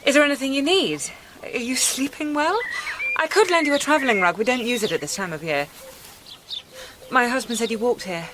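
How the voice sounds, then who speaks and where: An actress playing a polite, earnest, gently curious vicar's wife in a radio drama, courteous and solicitous in manner. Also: female voice, a little high, lively intonation, fairly quick, lightly acted. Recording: birdsong and outdoor noise behind.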